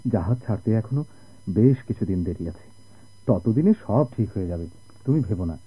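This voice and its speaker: Husky, animated sailor husband. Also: male voice, fairly low pitch, normal speed. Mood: reassuring. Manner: emotional, dramatic, pleading. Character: injured sailor husband, eager, stubborn, tenderly pleading. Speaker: radio drama actor. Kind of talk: Bengali radio drama acting. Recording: muffled old radio drama recording.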